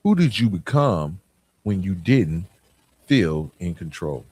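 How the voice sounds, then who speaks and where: An American man hosting a podcast, giving a reflective motivational monologue in an earnest, emphatic way with deliberate pauses. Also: deep voice, sing-song, darkish tone, slightly rough. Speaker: podcast host.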